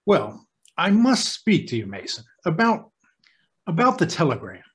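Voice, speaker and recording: An actor playing a nervous, urgent, insistent hospital doctor in an old-time radio drama. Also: male voice, on the high side, wildly swooping pitch, a little slow. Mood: tense, confronting. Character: nervous, agitated male hospital doctor in charge.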